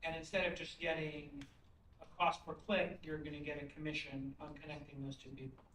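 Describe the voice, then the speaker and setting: A man giving blunt, conversational feedback as a pitch judge, rambling into hypotheticals and analogies. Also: flat delivery, brisk, fairly bright tone. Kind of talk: conversational critique and questioning. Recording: roomy, distant mic, faint noise.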